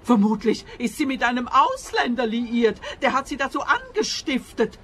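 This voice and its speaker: A woman's voice: fairly high pitch, very animated, normal speed, a bit bright. An actress playing an indignant, suspicious older lady who scolds self-righteously in a radio play. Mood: scolding, suspicious. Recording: clear noise and ambience behind.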